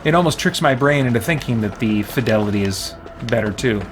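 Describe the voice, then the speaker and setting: A man's voice: mid-range voice, animated, a little fast, music in the background. A man livestreaming a video game, chatting casually and thinking aloud in a rambling way. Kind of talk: casual chat while playing a video game.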